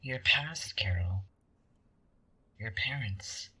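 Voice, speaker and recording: An actor in a sci-fi audio drama playing a conniving angel, smug and taunting, with a breathy, husky voice and a theatrical, condescending delivery. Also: mid-range voice, lively intonation, extremely slow, bright, forceful tone, heavily acted. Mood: sly taunting.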